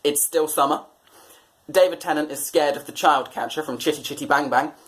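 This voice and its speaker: A man's voice: on the high side, brisk. A British man presenting satirical commentary on newspapers in a sardonic, mock-exasperated way. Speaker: comedy presenter.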